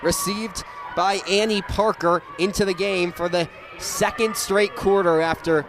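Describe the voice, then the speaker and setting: A young man giving energetic live radio play-by-play commentary of a sports game. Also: high voice, unhurried. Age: young adult. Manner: energetic, rises to excited calls on big plays. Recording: live stadium with crowd noise. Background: crowd noise at an outdoor game.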